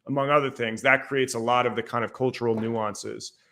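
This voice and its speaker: A man's voice: medium pitch, fairly even intonation, brisk, noisy background. Irish man talking history, lots of fillers. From Ireland. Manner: rambling, many fillers like "like" and "um". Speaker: guest historian. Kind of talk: casual podcast discussion.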